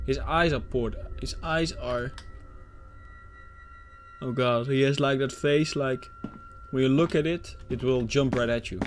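A man's voice: medium pitch, unhurried, pauses often, faint music behind. A young man with a Dutch accent, commentating a horror game as he plays, jumpy and swearing when startled. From Netherlands. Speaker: gaming YouTuber.

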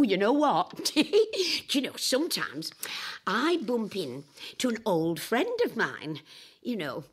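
An older woman playing a flamboyant, boozy, eccentric time-travelling adventuress in a dramatic audio monologue, confiding in a drawl with a husky, breathy voice. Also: mid-range voice.

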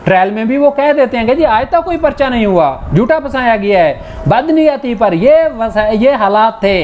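A man's voice: sits high, slight background noise. A man with a Pakistani accent giving an informal law lecture, explaining in a conversational way and mixing in English legal terms. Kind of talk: informal lecture explaining statute articles with examples.

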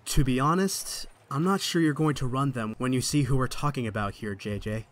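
A man's voice: mid-range voice, fairly quick, natural voice. Man playing an earnest wisecracking superhero. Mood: measured. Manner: earnest, quippy. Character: young male superhero, wisecracking, earnest and moral. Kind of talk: comic dub acting with narration. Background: music.

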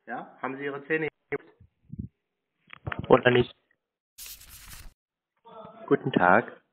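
A deadpan, teasing speaker on a prank phone call. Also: medium pitch, slow, breathy edge. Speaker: prank call recipient, show host. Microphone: telephone call. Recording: tinny narrow-band phone line.

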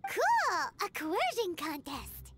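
A woman voicing a tiny, cheeky, excitable fairy sidekick with a childlike manner in a video game. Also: very high-pitched, slow pace, full character voice. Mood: excited, cheeky. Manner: cheeky, exclamatory. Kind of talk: video game character acting. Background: music.

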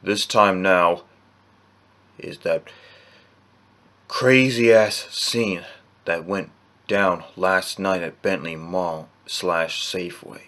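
A young man vlogging to camera from a small bedroom, slowly and plainly retelling events on a close webcam. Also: sits low, fairly even intonation, a bit bright. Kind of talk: casual vlog to camera.

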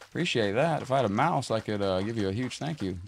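Hoarse low-voiced male streamer. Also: lively intonation, fast, slight background noise. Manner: rambling, loose and chatty, calls viewers brother. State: throat going hoarse. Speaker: live-stream host. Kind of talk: casual live-stream chat while gaming. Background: loud game music.

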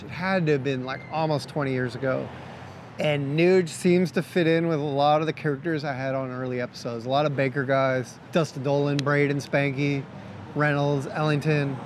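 A man hosting a skate documentary show, introducing the episode in a relaxed, rambling way. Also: on the high side, a little hiss or hum. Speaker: show host. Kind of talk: casual spoken show intro. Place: restaurant.

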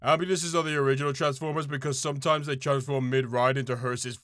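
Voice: medium pitch, fairly even intonation, fast talker, a bit bright. A host reading social media posts for comedy, with a playful, theatrical delivery.

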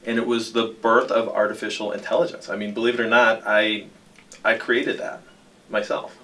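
A man's voice: lowish voice, flat delivery, normal speed, far from the mic, background noise. A man recounting things in a casual, matter-of-fact, conversational way.

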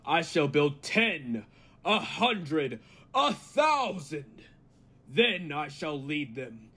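An actor playing a cold, megalomaniac humanlike robot in an old-time radio drama, speaking deliberately and with grandiose menace. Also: male voice, fairly high pitch, sing-song, pushed and bright, husky, heavily acted. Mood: grandiose, exultant. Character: cold, megalomaniac humanlike male robot bent on world mastery.

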